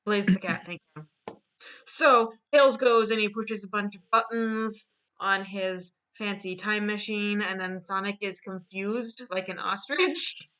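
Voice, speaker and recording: Woman recapping a story w friends, unhurried, bright voice, kinda amused. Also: mid-range voice, clear, resonant tone. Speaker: co-host recapping a drawn story. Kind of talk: casual chat, retelling a story.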